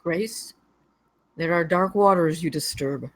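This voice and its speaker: A woman's voice: on the low side, slow. A woman playing a loyal, stern household servant, guarded and curt, in a gothic drama table read.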